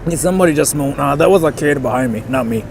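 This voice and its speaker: A man's voice: on the high side, lively intonation, quick pace, gravelly. Chatty young man streaming from the street. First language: bilingual English and Japanese. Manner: chatty, rambling, reads out viewer comments. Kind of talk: casual live-stream chat. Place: outdoors on a city street. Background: faint street and traffic noise.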